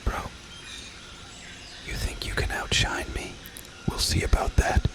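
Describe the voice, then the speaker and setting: A man's voice: unhurried, natural voice. A man voicing Chad, a cocky young male gym bro with slangy, boastful talk and a whispery voice.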